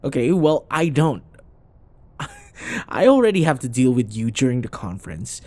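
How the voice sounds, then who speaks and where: Man acting a snarky, animated office rival. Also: medium pitch, normal speed, no echo, close to an everyday voice. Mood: exasperated. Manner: sarcastic, taunting, sighs and scoffs. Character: snarky, irritable male office rival, teasing and combative. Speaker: audio roleplay performer. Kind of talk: scripted ASMR boyfriend roleplay acting.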